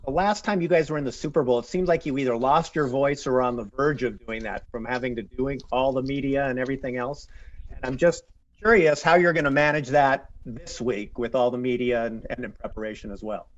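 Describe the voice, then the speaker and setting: A male reporter works his way through a question at a press conference, stopping and restarting as he goes. His voice sits at a middling pitch, and the recording sounds dull and muffled. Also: a little fast. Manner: rambling, hesitant questions.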